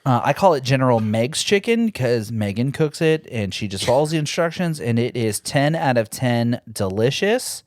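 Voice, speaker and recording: A man with a Midwestern American accent, co-hosting a podcast with profane, jokey, animated banter. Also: medium pitch, moderate pace, hardly any pauses, no room sound. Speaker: podcast co-host. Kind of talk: casual banter. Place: podcast studio.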